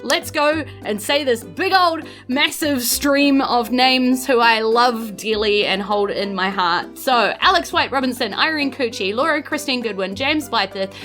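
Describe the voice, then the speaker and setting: A woman's voice: fairly high pitch, sharp tone. A woman with a New Zealand accent, chatting and narrating as the game master and host of a podcast.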